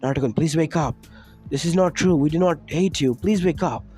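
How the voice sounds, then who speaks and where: A man's voice: a little high, a little fast, soft background music, voice pushed up, close to an everyday voice. A man doing a soothing loving mother. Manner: soft, hushing, reassuring.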